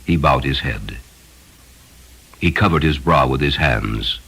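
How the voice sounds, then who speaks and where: A man narrating a literary story in a solemn, measured, dramatic way. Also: extremely low pitch, animated, a bit bright, slightly rough. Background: music behind the voice.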